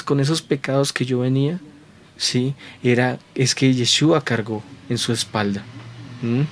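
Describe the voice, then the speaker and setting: Man, preacher, earnest sermon delivery, medium pitch, rhetorical check-in questions. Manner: repeats and restarts phrases while building points. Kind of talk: sermon to viewers at home.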